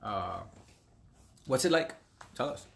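Male podcast host, mid-pitched, upbeat and chatty. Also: lively intonation, vocal fry. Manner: upbeat, gives shout-outs, slows for emphasis. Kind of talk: casual podcast chat.

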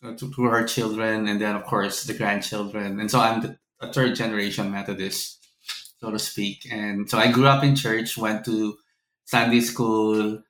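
A man's voice: lowish voice, level tone, moderate pace, fairly bright tone. A middle-aged man from the Philippines with a Filipino accent, telling his own story conversationally as a podcast guest. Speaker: seminary professor, podcast guest. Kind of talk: conversational personal storytelling in an interview. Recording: roomy, echoey sound.

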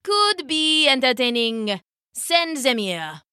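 A woman's voice: high voice, very animated, slow pace, bright, forceful tone, clear, resonant tone, dry recording, studio quality, pitched above the performer's own voice, exaggerated voice. A woman doing a grumbling greedy old king. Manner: grumbling, drawling.

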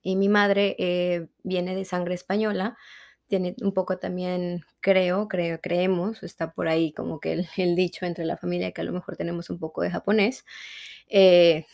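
A woman with a Mexican accent, speaking on the low side of her range. As an interview guest she tells her story with reflection and animation, mixing personal memories with her views. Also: level tone, moderate pace, creaky, darkish tone. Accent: Mexican Spanish. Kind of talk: conversational interview.

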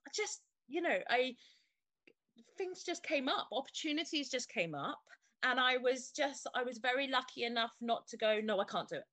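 A woman speaking as an interview guest, telling her own story in a halting, heartfelt way with frequent restarts. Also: high voice, animated, sharp tone, no room sound. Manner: halting, stops and restarts often, open and heartfelt. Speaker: interview guest, fitness studio owner. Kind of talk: candid personal interview.

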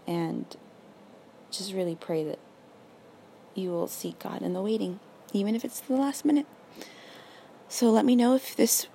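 A raspy young woman hosting a casual faith podcast, earnest and encouraging, full of "like" and "literally". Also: medium pitch, mellow, a little hiss or hum. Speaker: podcast host.

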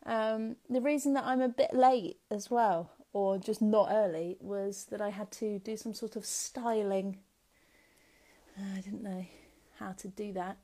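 A woman's voice: medium pitch, steady tone, measured pace, dark tone. A woman hosting an Instagram Live, chatting casually and rambling with lots of ums. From England. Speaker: host of an Instagram Live interview. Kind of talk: casual livestream chat. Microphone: phone or laptop on a live stream.

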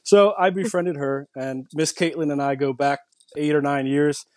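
A man's voice: fairly high pitch, steady tone, moderate pace, a little mellow, dry recording. Enthusiastic man in a dry room. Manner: enthusiastic storyteller. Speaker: podcast co-host. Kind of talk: casual chat.

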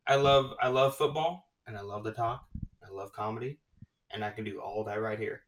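A man hosting a casual sports podcast, talking excitedly and gushing about his predictions, often restarting his sentences. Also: lowish voice, a little fast, recorded from a distance. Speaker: podcast host.

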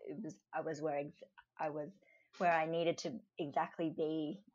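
A woman's voice: low-pitched, level tone, normal speed, a little mellow, lo-fi sound. A woman interviewed as a guest, talking casually and hesitantly with many ums. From Australia.

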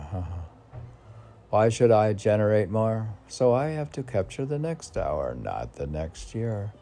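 A man reading poems aloud in a rambling manner, with muttered musing asides and questions to himself, in a gravelly voice. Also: lowish voice, warm tone. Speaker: poet reading own poems.

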